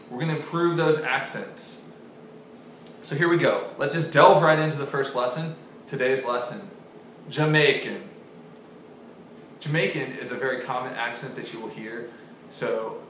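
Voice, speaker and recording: A man hosting a mock-serious comedy accent lesson in a deadpan, mock-authoritative manner. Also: medium pitch. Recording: very echoey room with faint hiss.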